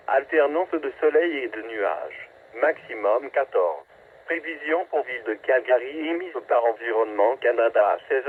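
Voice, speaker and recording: A man reading a scripted weather forecast as a radio announcer, in a clipped, segmented, automated delivery. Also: medium pitch. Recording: tinny phone-like weather radio, static noise.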